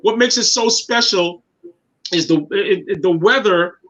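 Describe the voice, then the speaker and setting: Bright high-voiced man in his 50s. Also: measured pace. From Guyana. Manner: earnest, repeats words while finding his thoughts. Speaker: guest, city councilman. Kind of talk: casual interview talk. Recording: dull lo-fi sound.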